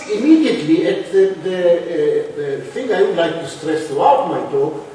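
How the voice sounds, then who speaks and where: An older man from Palestine speaks slowly and deliberately, with a rather high voice. He hesitates and restarts often as he lectures. The recording is distant and echoey, with a long hall reverb. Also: warm tone, a little hiss or hum. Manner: measured, thoughtful, many hesitations and restarts. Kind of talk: public lecture.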